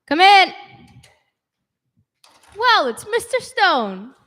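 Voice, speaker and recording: A woman acting as a female schoolteacher in an old-time radio comedy. Her voice is high and her manner composed, politely smoothing things over with a wry edge. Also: big pitch swings, very slow, fairly bright tone, heavily acted. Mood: polite, placating.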